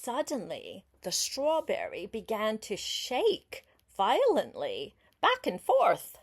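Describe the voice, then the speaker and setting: An older woman reading a picture book aloud to a child like a grandmother, unhurried and expressive, pausing to explain words and ask questions. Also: fairly high pitch, husky. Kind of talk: storybook read-aloud with chatty asides to a young listener.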